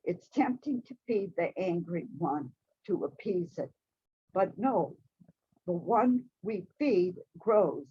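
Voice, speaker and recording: A woman speaks slowly and gently to a church congregation, her voice mellow and very husky. The sound is slightly dull and lo-fi. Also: on the low side. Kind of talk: spiritual talk to a congregation. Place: church hall, also streamed to video call.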